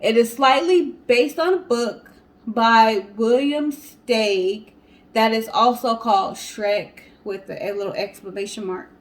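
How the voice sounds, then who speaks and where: A woman co-hosting a show, telling stories and chatting casually to the audience in an animated way. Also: a little high, measured pace. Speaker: co-host of a storytelling guessing show. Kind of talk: casual storytelling and chat to viewers.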